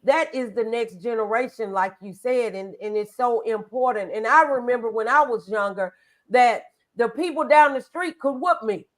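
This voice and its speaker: A woman's voice: a little high, normal speed. A woman hosting a motivational talk show, speaking in an earnest, emphatic, conversational way.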